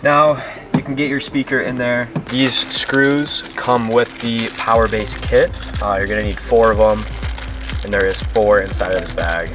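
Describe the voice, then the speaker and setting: A man's voice: medium pitch, steady tone, unhurried, music in the background. A man hosting a DIY car tutorial, giving plain, informal step-by-step instructions in a casual how-to style.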